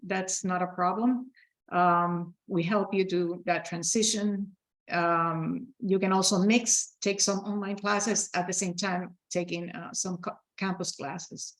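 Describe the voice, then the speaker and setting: A middle-aged woman with an Ecuadorian Spanish accent, giving a program presentation over a video call with many fillers and restarts. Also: medium pitch. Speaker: program director. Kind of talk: virtual open house presentation.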